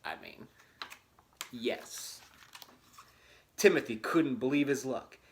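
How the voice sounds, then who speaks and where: A man's voice: medium pitch, very animated, takes it slowly, vocal fry, slight background noise. A man reading a storybook dramatically, breaking off for wry, tongue-in-cheek casual commentary. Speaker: host reading a children's book aloud with asides.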